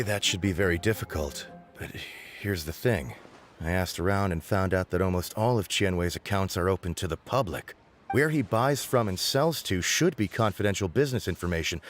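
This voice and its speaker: A man's voice: fairly low pitch, animated, brisk, lightly acted. A man voicing a confident, somewhat boastful male informant in a video game, with a wry manner. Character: smug, competitive male informant. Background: game music.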